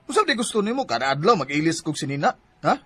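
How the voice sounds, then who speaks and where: A man's voice: on the high side, big pitch swings, fast talker, fairly bright tone, husky, full character voice. A man with a Cebuano Filipino accent playing a hurt, fed-up young boyfriend who complains plaintively in a radio drama. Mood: aggrieved. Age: young adult. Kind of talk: Bisaya radio drama acting.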